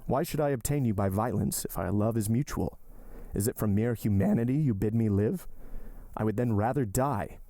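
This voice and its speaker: A sorrowful but resolute young lover who speaks earnestly and solemnly, in a deep, dark, mellow voice, as an audiobook reader voices him. The sound is close and dry. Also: male voice, normal speed, no echo. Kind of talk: audiobook character voice.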